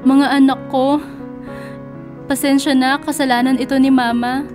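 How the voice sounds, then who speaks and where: A woman's voice: high voice, little pitch movement, normal speed, darkish tone, soft background music, lightly acted. A woman playing an emotional, protective mother in a radio drama, with a dramatic delivery. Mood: remorseful. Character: protective, emotional single mother defending her daughters.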